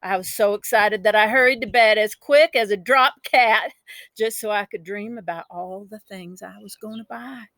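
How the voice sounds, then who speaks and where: A woman's voice: medium pitch, moderate pace, a bit bright, no room sound, lightly acted. A woman voicing a spirited, boastful country child from a storybook, telling the tale in a folksy, emphatic, dramatic way. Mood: excited, indignant.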